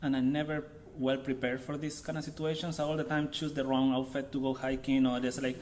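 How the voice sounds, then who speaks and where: A man in his thirties with a Chilean accent speaks at a mid-range pitch, talking loosely and haltingly as he searches for his words. A faint hiss sits under his voice in a lecture room. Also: level tone, darkish tone. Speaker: visiting artist. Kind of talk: public artist talk.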